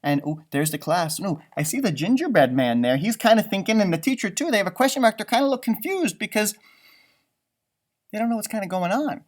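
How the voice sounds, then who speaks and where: Animated man reading a children's storybook. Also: high-pitched, brisk. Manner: expressive asides and questions to young listeners. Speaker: elementary school teacher reading storybooks aloud. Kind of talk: animated children's picture-book read-aloud.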